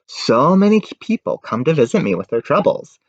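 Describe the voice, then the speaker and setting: A man voicing a wise, kindly old village witch in a picture book, gentle and reassuring. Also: a little high, sing-song, brisk, pitched above the performer's own voice. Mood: welcoming. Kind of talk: children's picture-book character voice. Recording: dull, roomy home recording.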